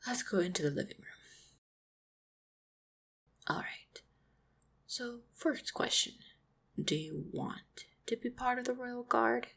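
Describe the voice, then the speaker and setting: A woman playing a gentle, devoted orc hunter in a roleplay audio drama, speaking tenderly and reassuringly with a husky, creaky voice. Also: a little high, animated, slow, long pauses, heavily acted. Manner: tender, reassuring, softly spoken. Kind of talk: roleplay audio drama, intimate monologue to the listener.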